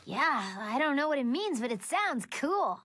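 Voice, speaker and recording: Eager lil cartoon hero girl, high voice, takes her time, curious. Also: big pitch swings, a little slow, fairly bright tone, no room sound, full character voice. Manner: childlike, inquisitive. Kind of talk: cartoon voice acting.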